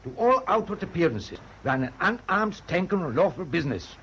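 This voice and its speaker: An actor playing a stubborn, commanding German tanker captain in a wartime radio play, giving clipped, authoritative orders. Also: male voice, sits high, big pitch swings, fairly quick, a bit bright, husky, heavily acted. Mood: firm, resolute. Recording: muffled, noisy old radio drama recording.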